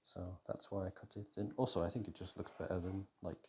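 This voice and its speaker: Low-voiced British man, casual cooking ASMR. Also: level tone, warm tone, slight background noise. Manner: hesitant, unhurried, thinking aloud. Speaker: audio roleplay performer playing a boyfriend cooking. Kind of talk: intimate ASMR roleplay, casual chat while cooking. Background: kitchen food preparation sounds.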